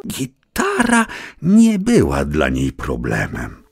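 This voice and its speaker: A man playing a whimsical storyteller, narrating a children's tale theatrically with rhymes and dramatic pauses. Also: very deep voice, gravelly, loud music behind the voice, exaggerated voice. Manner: expressive, rhyming, dramatic pauses.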